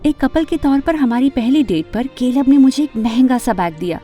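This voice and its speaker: A woman playing an ambitious, fashion-loving teenage girl who narrates her own animated story, dramatic and expressive, with English words mixed in. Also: high-pitched, normal speed, soft background music. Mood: storytelling.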